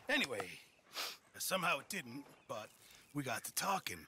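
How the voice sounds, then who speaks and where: Blustering fat man, wildly animated. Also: medium pitch, slight background noise, exaggerated voice. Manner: boastful, rambling, nervous. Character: blustering, eager-to-please fat camp man. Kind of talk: dramatic video game acting.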